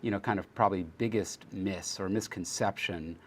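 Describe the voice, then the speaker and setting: A man's voice: low voice, normal speed, a little hiss or hum. A man hosting an interview over a video call, asking questions hesitantly with many fillers. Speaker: host, interviewer. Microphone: video call microphone.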